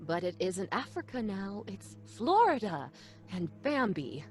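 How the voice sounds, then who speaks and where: An actress playing a wife and mother who speaks gently and coaxingly in a live audio drama. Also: medium pitch, sing-song, unhurried, soft background music. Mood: uneasy.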